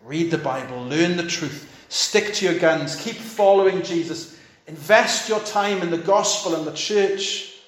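A man's voice: fairly high pitch, echoey room, rough recording. A fifty-year-old male pastor preaching a sermon, urging his listeners on in short, repeated, earnest phrases.

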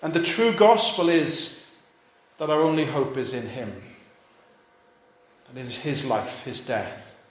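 A man's voice: medium pitch, big pitch swings, a little slow, long pauses, reverberant, slight background noise. A fifty-year-old male pastor preaching a sermon, urging his listeners on in short, repeated, earnest phrases.

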